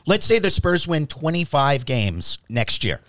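A man's voice: fairly high pitch, big pitch swings, unhurried, fairly bright tone, dry recording. A man co-hosting a casual sports podcast, arguing basketball bluntly and emphatically with firm opinions.

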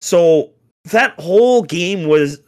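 A young man on a casual sports chat, ranting in an exasperated way with rhetorical questions, his voice going hoarse. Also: high-pitched, animated, takes it slowly, a little mellow. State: getting hoarse. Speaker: podcast co-host, hockey fan.

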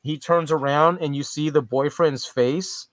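A man's voice: on the high side, fairly even intonation, a little slow, dry recording. A man co-hosting a casual podcast, rambling through a horror movie review with frequent restarts and casual swearing. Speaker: podcast co-host.

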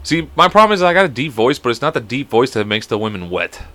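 A man's voice: medium pitch, big pitch swings, fast, bright, forceful tone. A man co-hosting a podcast from home, joking and swearing a lot in casual chat. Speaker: podcast co-host.

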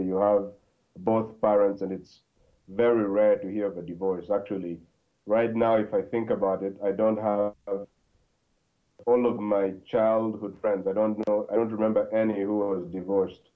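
A man from Congo talks as a podcast guest, his low voice coming through a tinny, phone-like line. He speaks haltingly, with plenty of fillers and restarts as he tells his story. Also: flat delivery. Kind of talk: conversational interview.

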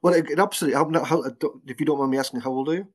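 A man's voice: a little high, rapid-fire. A man in his fifties hosting a podcast, asking questions in a casual, hesitant way with many fillers. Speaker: podcast host. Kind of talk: casual interview chat.

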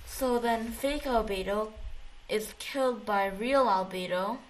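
A woman in a casual chat, retelling things in a halting, rambling way with repeated false starts. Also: fairly high pitch. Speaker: co-host recapping a video game story. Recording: clearly noisy recording with background ambience.

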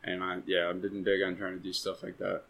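Laid-back low-voiced young man. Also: brisk, vocal fry. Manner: relaxed, rambling, self-deprecating. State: recovering from being sick. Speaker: podcast co-host. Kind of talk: casual chat.

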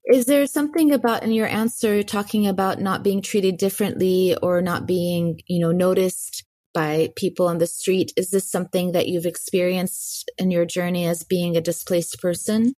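A woman's voice: on the low side, fairly even intonation, moderate pace, hardly any pauses, mellow. A woman hosting a podcast in a conversational interview style. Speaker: podcast host.

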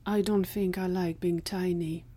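A woman's voice: fairly low pitch, fairly even intonation, measured pace, mellow, breathy edge. A woman voicing a tiny male scientist, earnest and easily flustered, with formal, courteous manners, in an audio story. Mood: polite, flustered.

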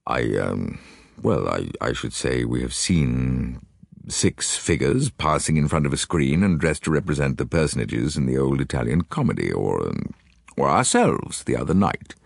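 A man voicing a puzzled, hesitant English lord in an audiobook, halting and bumbling as he talks. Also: a bass voice, big pitch swings, creaky, dry recording, studio quality, voice pushed down, heavily acted. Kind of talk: audiobook character voice.

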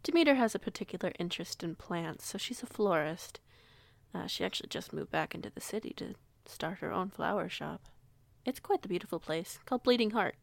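A woman playing a protective female werewolf pack alpha in an audio roleplay, speaking in a measured, polite, reassuring way. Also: lowish voice, lively intonation, creaky, no echo. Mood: pleasant, informative. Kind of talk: audio roleplay acting, conversational.

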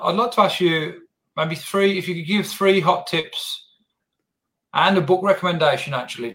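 Relaxed man hosting a podcast. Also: mid-range voice. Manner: relaxed, repeats words while searching for phrasing. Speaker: podcast host. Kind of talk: casual interview chat.

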